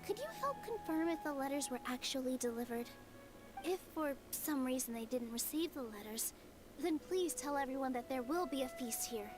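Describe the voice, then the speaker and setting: A sweet young dancer from an anime game. Her voice is high, gentle and earnest, with music playing clearly behind it. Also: expressive, moderate pace. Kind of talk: anime video game voice acting.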